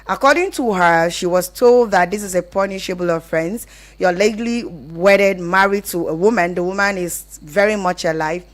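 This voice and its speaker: A Nigerian woman with a fairly low voice hosts a radio advice show. She speaks conversationally, explaining and rephrasing as she addresses listeners and callers. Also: normal speed. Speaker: radio call-in show host.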